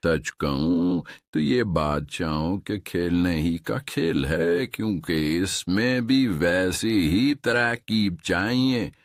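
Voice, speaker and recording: A man voicing a humble but self-assured inventor in a cartoon story, speaking respectfully and deliberately. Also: sits low, no room sound, studio quality. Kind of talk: cartoon story voice acting in Urdu.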